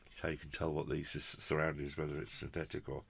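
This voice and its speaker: Low, creaky-voiced British man reviewing gear. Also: level tone, fast, a bit bright, a little hiss or hum. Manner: chatty, jokey, effusive, with quaint turns of phrase. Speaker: product reviewer and presenter. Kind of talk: casual hands-on unboxing commentary.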